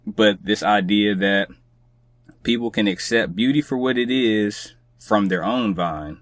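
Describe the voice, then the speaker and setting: A man's voice: fairly low pitch, unhurried, no room sound, clean studio sound. A man speaking as a podcast guest in casual, reflective conversation, with a laid-back, colloquial, musing manner.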